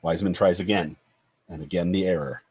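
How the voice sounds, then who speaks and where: A man's voice: deep voice, fairly even intonation, fairly quick, darkish tone, breathy edge. A man narrating a screenplay's stage directions in a scripted table-read style.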